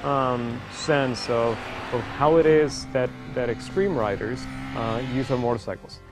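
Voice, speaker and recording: A man's voice: mid-range voice, a little slow, low-quality recording. A man explaining technical work in an unscripted interview, hesitant and searching for words. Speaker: engineer interviewed about product testing. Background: faint background music.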